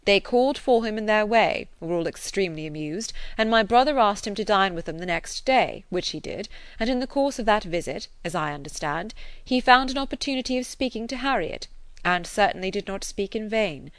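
This is a serious, kindly gentleman from a classic novel audiobook, as a woman narrator reads him. He speaks in a measured, earnest way with gentle teasing underneath. The sound is close and dry. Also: medium pitch, animated, no room sound, natural voice. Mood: explaining. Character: grave, kindly gentleman, older friend. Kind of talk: audiobook character reading.

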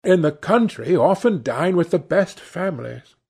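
A male narrator plays a self-dramatising middle-aged mother from a storybook. The voice is lifted and husky, with a genteel, theatrical air and a plaintive, put-upon temper. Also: a little high, lively intonation, a little slow, darkish tone, voice pushed up, heavily acted. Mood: stiff, complaining.

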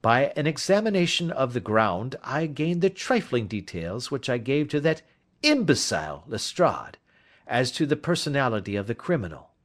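A man's voice: mid-range voice, very animated, normal speed, voice pushed up, lightly acted. A man reading the part of a brilliant Victorian detective, who lectures in a precise, emphatic way. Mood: lecturing.

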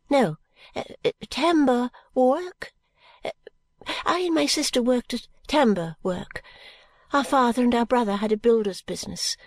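A frail old woman, rambling and courtly, whose voice leaps up high and then sinks into hushed, secretive whispers, as a woman reads her. She speaks in a lively, up-and-down way and sounds very close and dry. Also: dry recording, heavily acted. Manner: high fluttering swoops dropping into whispers.

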